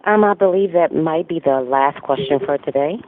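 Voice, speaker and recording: A woman's voice: deep voice, moderate pace. A woman acting as conference call operator, making formal scripted announcements. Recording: tinny telephone line.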